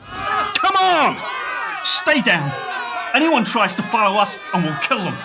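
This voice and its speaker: An actor playing a violent armed bank robber in a radio play, barking commanding, threatening orders. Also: male voice, very high voice, huge pitch swings, slow, vocal fry, heavy background noise, full character voice. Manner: shouted, commanding. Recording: loud dramatic music and crowd behind.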